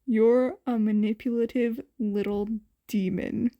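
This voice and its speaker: A woman voicing a blunt, protective grown man in a podfic story, with a dry, deadpan manner. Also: fairly high pitch, takes it slowly, lo-fi sound. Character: gruff, dry but protective adult man, hero.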